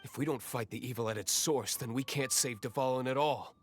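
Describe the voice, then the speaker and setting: A man playing a cool, aloof, brooding young nobleman in an anime video game, measured, dry and stern. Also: medium pitch, lightly acted. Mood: stern, determined.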